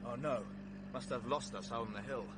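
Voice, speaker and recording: An actor playing a polite, nervous and naive young gentleman with an English accent in a vintage radio play, hesitant and well-mannered. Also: medium pitch, moderate pace, lightly acted. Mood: admiring, bashful. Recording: noisy vintage radio drama recording.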